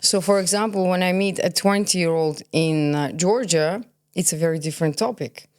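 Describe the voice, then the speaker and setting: A woman's voice: lowish voice, normal speed, smooth. A woman around forty, born in Kazakhstan and raised in Belgium, speaking candidly in an interview. She is opinionated and emphatic, often posing questions and answering them herself. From born in Kazakhstan, grew up in Belgium. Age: about 40. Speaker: podcast guest, cosmetologist.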